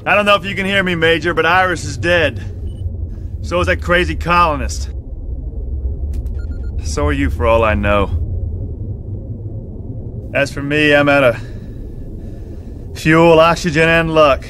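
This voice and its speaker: A man's voice: a little high, measured pace, long pauses, bright, forceful tone, slight background noise. An actor playing a cocky, stranded male lieutenant pilot, wry and weary, in a video game cutscene. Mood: grim, resigned.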